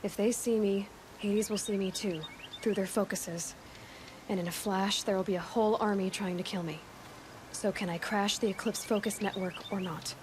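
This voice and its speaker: A woman's voice: mid-range voice, fairly even intonation, normal speed, slight background noise, natural voice. A woman playing a headstrong young warrior scout in a video game, sarcastic, blunt and impatient, in a naturalistic delivery. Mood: determined. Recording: loud music underneath. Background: loud game music.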